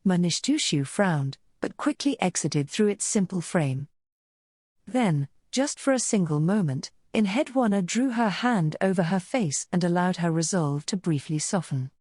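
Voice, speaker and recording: A woman's voice: lowish voice, animated, unhurried, darkish tone, slightly rough, no room sound, studio quality. A woman reading scripted narration for a dramatic visual novel story.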